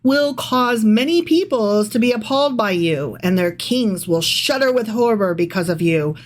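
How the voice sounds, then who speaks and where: Higher-pitched, irreverent woman podcaster. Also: expressive, moderate pace. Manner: irreverent, sarcastic, sweary. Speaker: podcast co-host reading scripture aloud. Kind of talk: casual chat with dramatic read-aloud and commentary.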